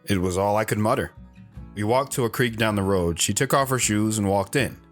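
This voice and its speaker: A man playing a shy, hesitant wanderer who narrates his own story in an audio drama, reflective in tone, with soft guitar underneath. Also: lowish voice, fairly quick, close to an everyday voice. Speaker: narrator and guest.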